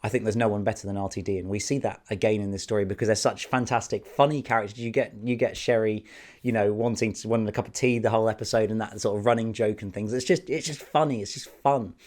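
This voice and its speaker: A man talking through a review in a loose, excited ramble, full of fillers and restarts. His voice sits somewhat low and sounds hoarse from a cold. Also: fast talker. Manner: enthusiastic, chatty, many fillers. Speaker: video reviewer. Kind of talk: casual spoken review.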